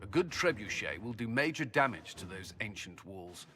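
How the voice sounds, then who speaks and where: An actor playing a resolute, authoritative military commander in a fantasy video game. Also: male voice, fairly low pitch, big pitch swings, bright, forceful tone, a little hiss or hum. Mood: resolute, businesslike. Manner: brisk, authoritative. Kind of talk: dramatic video game acting.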